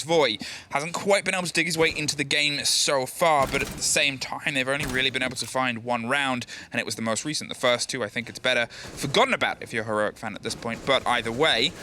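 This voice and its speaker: A man casting an esports match play-by-play, quick to get excited at the big plays. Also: mid-range voice, a little hiss or hum. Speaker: esports caster. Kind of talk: play-by-play commentary.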